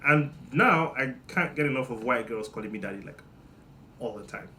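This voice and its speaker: A man from Nigeria with a Nigerian accent, giving a joking monologue that swings between loud bursts and low muttering. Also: animated, moderate pace, a little hiss or hum. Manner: playful, teasing, jumps between loud and muttered. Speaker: monologue host. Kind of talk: comedic monologue.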